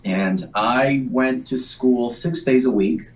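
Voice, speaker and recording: A man, Polish by upbringing, tells long, meandering stories with dry jokes at his own expense. His voice sits at an average pitch. The sound is roomy and echoey, and the mic is some distance from his mouth. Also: slow. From Massachusetts, USA. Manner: rambling, self-deprecating joker. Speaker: guest, actor. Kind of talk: casual interview storytelling.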